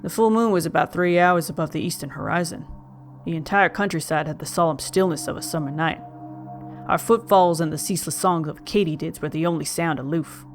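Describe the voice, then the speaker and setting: An actor playing a haunted young gentleman, narrating a gothic tale in formal, melancholy, literary speech. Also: mid-range voice, a little fast, soft background music, natural voice. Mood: wistful, mournful. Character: young man Joel Hetman Jr, mournful, haunted, genteel narrator. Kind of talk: dramatic first-person gothic audio narration.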